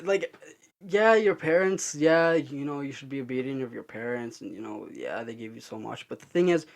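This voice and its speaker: A young man co-hosting a podcast, making his points in an animated, opinionated way and laughing easily. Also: mid-range voice, normal speed, vocal fry. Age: young adult. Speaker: podcast co-host. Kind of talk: casual chat.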